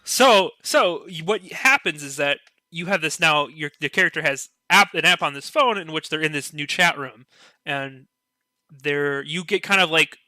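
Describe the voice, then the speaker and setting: A man you'd hear on a hobbyist podcast, sharp-edged voice in a dry space, meandering eagerly through his point. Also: fairly high pitch, normal speed, no echo. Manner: rambling, peppered with filler "like". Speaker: podcast panelist. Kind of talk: casual discussion.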